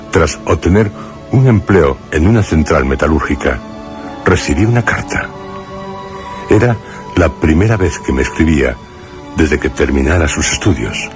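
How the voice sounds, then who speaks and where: Super deep narrator, grave and measured, spooky radio play, music behind him. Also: male voice, full character voice. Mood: grave, reflective. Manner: measured, literary, solemn. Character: grave male narrator recalling a troubled friend in suspense tale. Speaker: narrator actor. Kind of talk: scripted radio drama narration.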